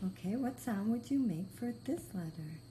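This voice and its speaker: A woman's voice: mid-range voice, lively intonation, moderate pace, mellow, a little hiss or hum. A woman teaching a step-by-step phonics lesson to beginning readers, giving patient, simple instructions with repeated praise. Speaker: reading teacher.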